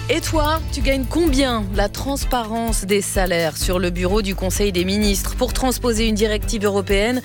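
A woman anchoring the radio news bulletin, reading the headlines in a crisp, measured way. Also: medium pitch, over music. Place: radio studio.

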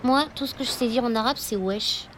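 A woman's voice: on the high side, brisk, noisy background, natural voice. An actress playing a curious, frank young girl in an audio drama. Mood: questioning.

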